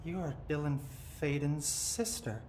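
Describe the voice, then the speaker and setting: A man playing a disturbed, possessed man in a video game, speaking deliberately with eerie detachment. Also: fairly high pitch, darkish tone, slight background noise, natural voice. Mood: detached.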